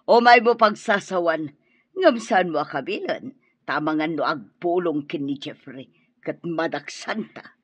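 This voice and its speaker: A woman's voice: low voice, expressive, unhurried, slightly rough, dry recording, full character voice. A woman with a Filipino accent playing a scheming but wary older mother-in-law in a radio drama, speaking in cautioning tones. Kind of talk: Ilocano radio drama acting.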